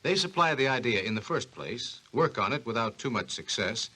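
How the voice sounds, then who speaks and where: A man's voice: medium pitch, animated, moderate pace, pushed and bright. A man playing a curious, eager interviewer in scripted 1940s radio dialogue. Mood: curious, impressed. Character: curious, attentive male interviewer prompting an expert. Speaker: co-host asking questions.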